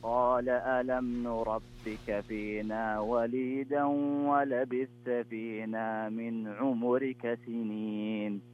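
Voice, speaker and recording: A man calls in to a radio show and recites verses in a slow, measured way. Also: mid-range voice, little pitch movement, a little mellow, slight background noise. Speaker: caller. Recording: dull lo-fi phone line.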